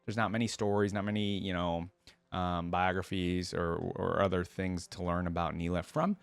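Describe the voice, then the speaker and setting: A man's voice: deep voice, level tone, moderate pace, creaky, clean tone, dry recording. Dry-sounding man, casual reaction host. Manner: conversational, musing aloud, addressing viewers.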